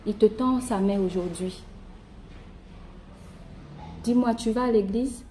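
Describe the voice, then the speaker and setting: A woman's voice: mid-range voice, slow pace, warm tone, slight background noise, low-quality recording. A woman speaking as a phone evangelist, preaching informally and directly to one listener in an earnest, encouraging way. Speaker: evangelist calling strangers by phone.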